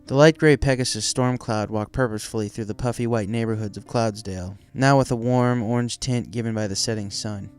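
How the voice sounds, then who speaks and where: A man's voice: fairly low pitch, fairly even intonation, a little fast, hardly any pauses, smooth, soft background music. A man reading a story aloud as scripted narration. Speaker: fan fiction reader.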